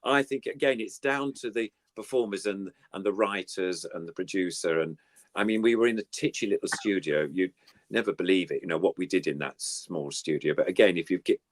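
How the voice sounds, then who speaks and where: An older English man with a low, deep voice, recorded close and dry. He talks casually and at length, wandering through anecdotes like a guest looking back on his working life. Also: brisk, dry recording. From United Kingdom. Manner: chatty, anecdotal, rambling. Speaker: puppeteer, interview guest. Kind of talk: casual reminiscing chat.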